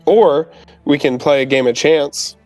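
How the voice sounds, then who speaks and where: A man plays a young gambler in a tabletop game, always angling for a bargain. The voice sits a little high for a male, with music behind it. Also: unhurried, a little mellow, close to an everyday voice. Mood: coaxing.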